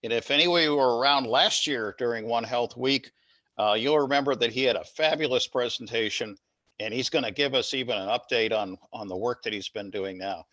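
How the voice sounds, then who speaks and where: A man opens a seminar on a video call and introduces the guest speaker. His voice is bright. The sound is close and dry, and a few fillers break up the introduction. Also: mid-range voice, fairly quick, dry recording, muffled recording. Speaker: seminar host. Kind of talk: formal introduction.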